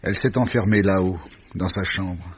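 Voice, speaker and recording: A man playing a bereaved, shaken brother in a French radio drama, with a sombre, husky delivery. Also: sits low, normal speed, slight background noise, close to an everyday voice. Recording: dull lo-fi radio recording.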